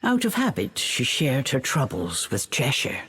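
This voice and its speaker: A woman narrating a fairy tale for a video game in an expressive, measured storytelling manner. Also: extremely low pitch, a little hiss or hum, heavily acted. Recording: faint music behind the voice.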